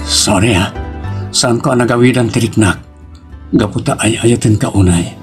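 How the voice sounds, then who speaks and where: A man's voice: lowish voice, moderate pace, natural voice. A man with a Filipino accent playing a devoted young man in love in an audio drama, with an earnest delivery. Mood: tender. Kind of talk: romantic audio drama acting. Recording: music under the voice.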